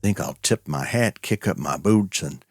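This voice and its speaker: A man's voice: deep voice, animated, moderate pace, music in the background. A man hosting and narrating a cowboy audio show in a folksy, homespun way.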